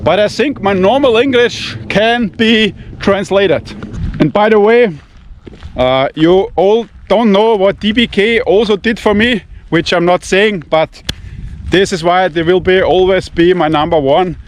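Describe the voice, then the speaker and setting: A man's voice: high voice, a little slow, slight background noise. A man with an Austrian German accent, a non-native English speaker, talks bluntly and profanely in broken English while walking through fields and vineyards. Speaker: knife-testing channel host. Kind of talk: casual walking vlog. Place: outdoors among vineyards and fields.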